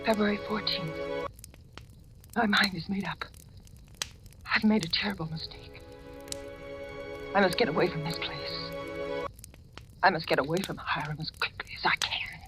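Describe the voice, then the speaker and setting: An actress playing a terrified, trapped woman in an old-time radio horror drama, speaking in a breathy, faltering, melodramatic way. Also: on the low side, fairly even intonation, a little slow, long pauses, in a whisper, lightly acted. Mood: secretive dread. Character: terrified, trapped woman guest fearing for her life. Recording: vintage radio drama, music underneath.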